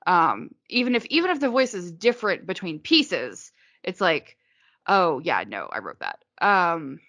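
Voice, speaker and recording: Chatty woman with a low, dry voice. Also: sing-song, a little slow, a bit bright, no echo. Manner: rambling, frequent "like" and restarts. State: hiccuping. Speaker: interviewed author. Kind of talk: casual interview answers.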